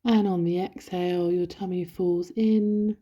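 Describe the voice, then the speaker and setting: A woman leading a guided body scan meditation in a gentle, soothing, instructional manner. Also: on the low side, steady tone, unhurried. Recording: dull, lo-fi, roomy echo.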